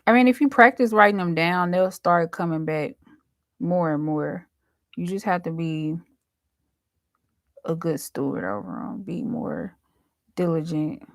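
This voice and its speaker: A woman with a fairly low voice hosts a casual chat for her viewers. She speaks informally and colloquially, like someone telling stories. The sound is dry, with very little room in it. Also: a little slow, pauses often, dry recording. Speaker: host, dream interpreter.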